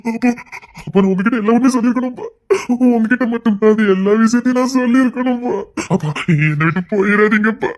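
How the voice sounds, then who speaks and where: Anguished grown child, mid-pitched, pleading, repetitive, overwrought, family melodrama acted. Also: fairly even intonation, a little fast, natural voice. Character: anguished grown child fearing for ailing father. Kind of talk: emotional family melodrama dialogue.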